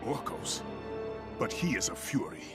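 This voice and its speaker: A male actor playing a hardened, vengeful warrior in a video game, with a gravelly voice and a terse, forceful, defiant delivery. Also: fairly low pitch, lively intonation, measured pace. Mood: wary, brooding. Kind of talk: dramatic video game acting. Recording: loud music behind the voice. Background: loud music.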